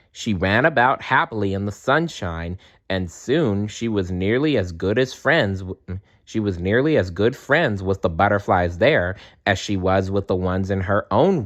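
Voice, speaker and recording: Slow, animated male storybook reader. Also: lowish voice. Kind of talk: expressive children's story read-aloud.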